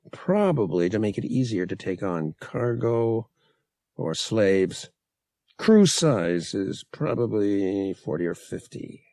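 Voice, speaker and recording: A brash male starship pilot acted out by a male narrator in a space adventure audiobook. He is gruff, blunt and sardonic, with a husky, gravelly voice and animated pitch. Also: dry recording, studio quality, heavily acted.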